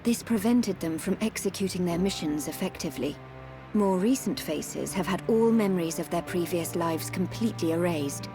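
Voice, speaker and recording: A composed, gentle game character of a machine people voiced by a woman. She explains things formally and sadly in a lowish voice, with faint music behind. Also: normal speed, close to an everyday voice. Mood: solemn. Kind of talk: video game cutscene acting.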